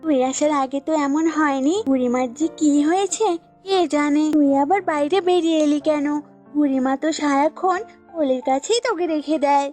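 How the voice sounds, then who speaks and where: A woman's voice: sits high, a little mellow, close to an everyday voice. A woman voicing a jealous, sulky pet goat in a cartoon fable, complaining and scolding. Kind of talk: cartoon voice acting in a children's fable. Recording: music bed behind the voice.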